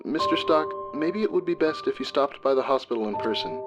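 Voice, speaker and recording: A man playing a polite, halting male hospital caller in a dramatic reading, heard through a telephone filter. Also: mid-range voice, animated. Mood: polite, hesitant. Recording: muffled phone-like sound, music underneath.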